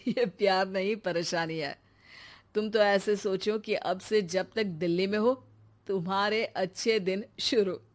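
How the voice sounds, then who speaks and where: A woman voicing a caring, teasing young married man in an animated moral story. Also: medium pitch, measured pace, close to an everyday voice. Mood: wry, teasing.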